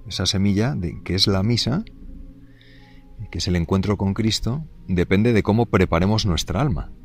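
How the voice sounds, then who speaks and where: A man, a priest, giving an unscripted catechesis in a gentle, halting way, with many fillers and restarts. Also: low voice, faint music behind.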